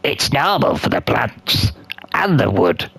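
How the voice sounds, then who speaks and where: A person acting as curious scholarly outsider, very creaky voice, sharp bright edge, deliberate halting delivery, visual novel dialogue. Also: medium pitch, very animated, heavily acted. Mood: fascinated.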